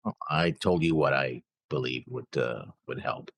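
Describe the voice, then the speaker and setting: A man co-hosting a reaction show, casually discussing an anime episode in a halting way, often repeating words. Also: rumbling low, vocal fry, slightly rough, no echo.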